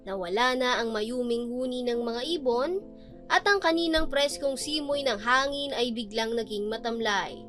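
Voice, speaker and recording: A woman's voice: on the high side, normal speed, fairly bright tone, soft background music, lightly acted. A woman playing a young female student telling her love story in an earnest, poetic radio drama narration. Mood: anxious, tearful memory.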